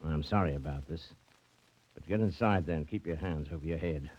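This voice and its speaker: An actor playing a menacing kidnapper with a formal, curt, commanding manner in 1940s radio style. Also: male voice, extremely low pitch, fairly quick, darkish tone. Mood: threatening. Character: menacing, curt male gunman kidnapper posing as official. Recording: dull vintage radio recording.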